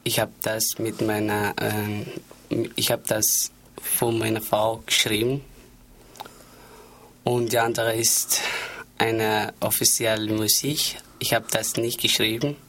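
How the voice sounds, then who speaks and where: A man from Somalia with a Somali accent, a radio guest speaking simple, halting German. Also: lowish voice, level tone, fairly bright tone. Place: radio studio.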